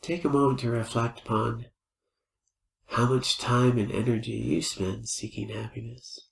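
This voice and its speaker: Gravelly man guiding meditation in echoey room. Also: medium pitch, flat delivery. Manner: gentle, unhurried invitations with pauses. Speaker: meditation teacher. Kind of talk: guided meditation. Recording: roomy, echoing space.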